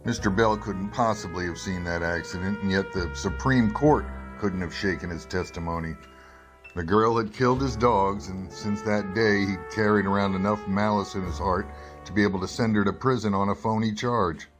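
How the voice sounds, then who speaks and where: A man's voice: fairly low pitch, fairly even intonation, normal speed, over music, close to an everyday voice. A man acting in a radio drama as a wry, skeptical investigative reporter, both speaking in scenes and narrating. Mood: wry, brooding. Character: wry, probing male investigative newspaper reporter.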